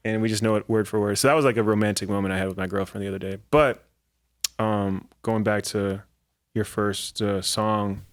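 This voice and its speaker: A man's voice: lowish voice, level tone, brisk. A man who is a guest comedian, chatting casually on a podcast and telling loose, rambling stories full of fillers.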